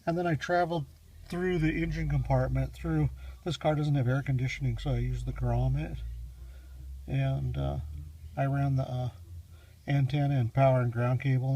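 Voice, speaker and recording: A man gives a casual, unscripted how-to walkthrough in a halting, rambling, matter-of-fact way, recorded on a handheld phone in a garage. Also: mid-range voice, fairly even intonation, mellow. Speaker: hobbyist car DIY demonstrator. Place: home garage, in and around a car. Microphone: handheld phone, moved around while filming. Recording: distant mic, noisy handheld phone recording.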